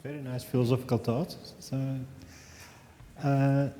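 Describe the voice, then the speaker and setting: Guy moderating a panel, warm mellow voice, echoey hall, faint crowd hum. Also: mid-range voice, little pitch movement, measured pace. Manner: brief, encouraging interjections. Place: large hall with audience. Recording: reverberant hall, faint ambience.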